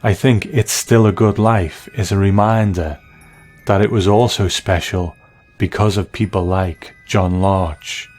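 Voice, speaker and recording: A man hosting a scripted TV review, speaking in measured, reflective, deliberate phrases. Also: deep voice, steady tone, a little mellow, soft background music. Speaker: television review host.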